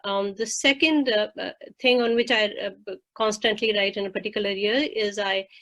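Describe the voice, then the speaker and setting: Woman, measured explainer. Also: mid-range voice, fairly even intonation, fairly bright tone. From India. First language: Hindi. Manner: measured, explanatory, with frequent fillers. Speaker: faculty member. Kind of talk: roundtable discussion.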